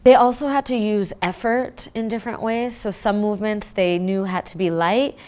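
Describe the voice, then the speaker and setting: A woman teacher calmly explaining her school's dance project in a documentary interview. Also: mid-range voice. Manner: explanatory, measured.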